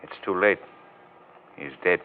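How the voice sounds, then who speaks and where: A thin, faintly hissing old recording of an assured gentleman sleuth in 1940s radio drama. His voice is fairly low, calm and wry. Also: measured pace, narrow, tinny audio. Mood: grave.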